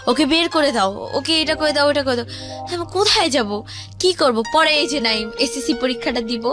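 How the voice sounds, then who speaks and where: A woman's voice: high-pitched, bright, forceful tone. A young woman with a Bangladeshi accent telling a personal story conversationally, rambling and acting out what others said. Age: teens to young adult. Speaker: guest telling their life story. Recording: music behind the voice.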